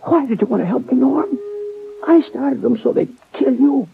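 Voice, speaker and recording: A dying, gravelly young cowboy. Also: male voice, very high voice. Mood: dying, remorseful. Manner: halting, broken speech. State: gasping, weak. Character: dying young cowboy, remorseful outlaw brother. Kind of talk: 1940s radio acting. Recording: tinny old radio broadcast with music.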